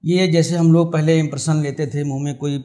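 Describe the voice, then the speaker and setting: Higher-pitched Indian man explaining as expert guest. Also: fairly even intonation, brisk, dark tone. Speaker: dentist guest explaining his field. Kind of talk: explanatory interview answers in Hindi with English technical terms.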